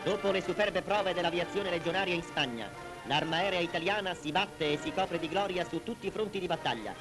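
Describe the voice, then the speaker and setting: A man's voice: sits high, brisk, rough recording. A man giving formal, declamatory narration for a 1940s wartime propaganda newsreel, in a solemn manner. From Italy. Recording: vintage 1940s newsreel soundtrack, loud music. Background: loud music.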